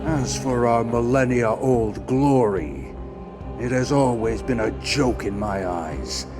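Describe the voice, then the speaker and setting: An actor playing a ruthless, cold warrior king in a video game, with a gravelly voice and an imperious manner. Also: medium pitch, natural voice. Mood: cold contempt. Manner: slow, imperious. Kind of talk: dramatic video game voice acting. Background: loud music.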